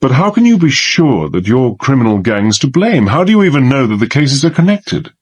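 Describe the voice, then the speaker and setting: A sceptical British gentleman officer with a husky voice and lively intonation. He is blunt, impatient and incredulous, in the style of a period audio drama. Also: medium pitch, normal speed, highs cut off. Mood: puzzled, probing. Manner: brusque, incredulous.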